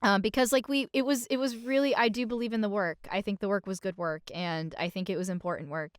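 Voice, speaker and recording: A woman talking as a podcast guest in a casual, reflective way, halting and expressive with a self-deprecating streak. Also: medium pitch, no echo.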